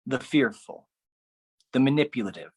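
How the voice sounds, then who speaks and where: A man performing a theatrical spoken-word poetry monologue, phrased deliberately and dramatically, with shifting intensity. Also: medium pitch, animated, no room sound.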